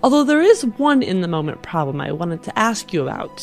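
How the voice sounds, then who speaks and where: A woman's voice: on the low side, sing-song, a little fast, creaky, faint music behind. A woman playing an earnest, polite but insistent spacer woman with firm morals in a tabletop game. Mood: musing.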